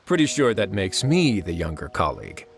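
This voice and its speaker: Wry, self-assured prison administrator. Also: male voice, low voice, huge pitch swings, moderate pace, gravelly, a little hiss or hum, full character voice. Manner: wry, casual, lightly teasing. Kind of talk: video game voice acting.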